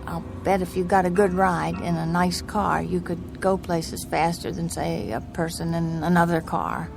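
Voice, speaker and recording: A woman's voice: lowish voice, level tone, normal speed, creaky, music in the background. An actress playing a haunted, desperate young woman driver in a radio drama, earnest and anxious in her delivery. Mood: probing, uneasy. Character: desperate, haunted young woman driving cross-country alone.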